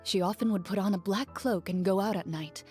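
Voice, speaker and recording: An actress voicing a composed young woman duelist in a video game, measured, matter-of-fact and polite. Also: medium pitch, a little mellow, lightly acted. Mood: fond, polite. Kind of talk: scripted game dialogue. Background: game music.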